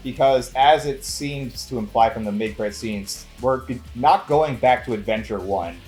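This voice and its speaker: A man's voice: medium pitch, normal speed, darkish tone, soft background music, rough recording. A man co-hosting a casual movie review, with a wry, mock-serious way of talking. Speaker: movie review show co-host. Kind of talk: casual banter and film review.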